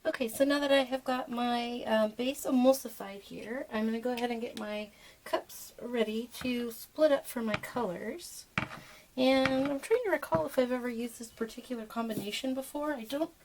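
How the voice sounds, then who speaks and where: Hoarse, froggy-voiced woman with gravelly fry. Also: fairly high pitch, normal speed, noisy background. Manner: relaxed, rambling, with many fillers. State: hoarse, froggy voice from being sick. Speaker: soap maker and channel host. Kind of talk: casual how-to demonstration.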